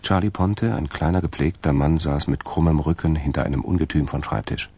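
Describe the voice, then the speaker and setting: A man with a gravelly, creaky voice, narrating a radio crime drama from a script. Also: a bass voice, fairly quick, dark tone, no room sound, exaggerated voice.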